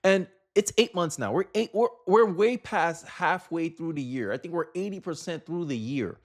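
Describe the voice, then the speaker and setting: A man's voice: fairly high pitch, expressive, moderate pace. A man giving casual, opinionated political commentary in an incredulous, rhetorical way, repeating phrases for emphasis.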